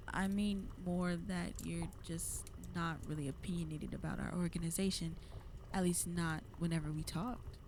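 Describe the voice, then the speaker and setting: A woman's voice: on the low side, a little mellow, slight background noise. A woman playing a flirty, possessive villain in an ASMR roleplay, speaking intimately to the listener in a teasing, commanding way.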